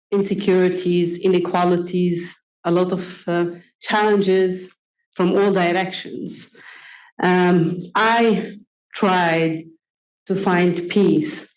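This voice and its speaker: A young woman answers on a conference panel. Her voice sits low and moves slowly, earnest and measured, broken by ums. The echoey conference room rings around her. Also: darkish tone. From Palestine.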